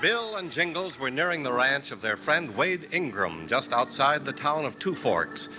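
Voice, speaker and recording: Dramatic 1950s radio announcer over music. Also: male voice, medium pitch, big pitch swings, moderate pace, low-quality recording. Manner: dramatic, declamatory.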